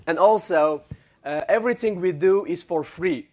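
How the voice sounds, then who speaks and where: A young man with an Armenian accent, preaching a sermon in halting English, with many fillers and restarts and some joking with the congregation. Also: high-pitched, darkish tone. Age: young adult. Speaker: guest preacher.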